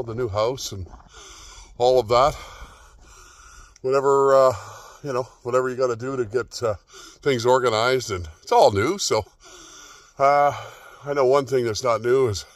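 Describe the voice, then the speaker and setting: A man's voice: mid-range voice, no echo. A man in his fifties casually vlogging on a walk along a snowy forest trail, rambling with lots of fillers, filmed on a phone held at arm's length. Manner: relaxed, rambling, with many fillers. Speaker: homesteading vlogger. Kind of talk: casual outdoor vlog. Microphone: phone at arm's length, selfie.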